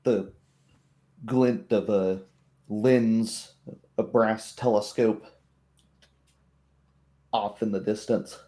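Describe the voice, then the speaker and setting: A man narrating a tabletop roleplay as game master, describing scenes in a measured storytelling manner. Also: on the low side, fairly even intonation, warm tone.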